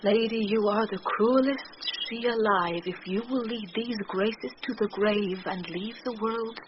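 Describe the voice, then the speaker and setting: An actress with a British accent playing a bold, witty young messenger disguised as a youth in a Shakespearean radio drama, with theatrical, declamatory delivery. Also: female voice, medium pitch, a little slow, lightly acted. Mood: admiring, reproachful. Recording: dull, noisy old radio drama recording.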